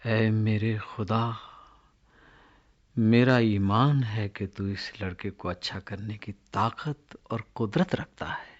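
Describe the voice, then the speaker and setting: A solemn, compassionate holy man pleads with fervent devotion. His voice is husky and breathy, his delivery unhurried, in a muffled religious drama recording. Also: fairly low pitch. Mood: fervent pleading. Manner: solemn, pleading, slow in prayer. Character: devout male Israelite prophet, solemn, compassionate, fervent.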